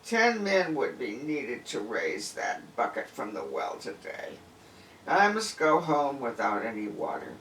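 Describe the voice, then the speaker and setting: A man's voice: medium pitch, huge pitch swings, unhurried, fairly bright tone, voice pushed down, full character voice. A man voicing an anxious, weary village wife in a folk tale, with emphatic exclamations. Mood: despairing. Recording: distant, echoey room with faint noise.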